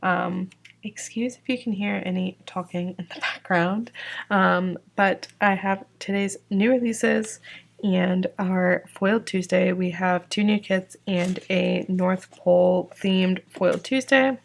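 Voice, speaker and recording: A young woman talks casually and unhurriedly, filling her sentences with "like" and "um." Her voice sits a little low and sounds a bit worn, as if she has been losing it lately. She is from Georgia. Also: steady tone, slow, vocal fry. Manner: chatty, fillers like "like" and "um". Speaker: sticker shop owner presenting new releases. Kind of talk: casual product walkthrough.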